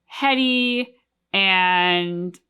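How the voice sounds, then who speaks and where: A woman's voice: medium pitch, very slow, pushed and bright, clear, resonant tone. A woman co-hosting a reaction show, chatting casually and acting out her own reactions. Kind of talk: casual discussion of game cutscenes.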